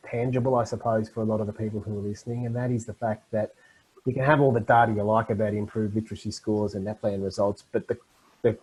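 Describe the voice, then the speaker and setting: A middle-aged man presenting a webinar to teachers over a video call, explaining in an animated way. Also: on the low side, husky, rough recording.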